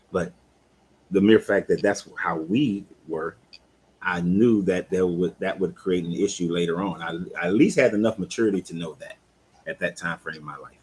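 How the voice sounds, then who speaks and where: A man's voice: low voice, slightly rough, rough recording. A man in his fifties, a life coach hosting a casual live talk, speaking candidly and in a laid-back, conversational way. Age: 50s. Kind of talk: casual live-stream chat.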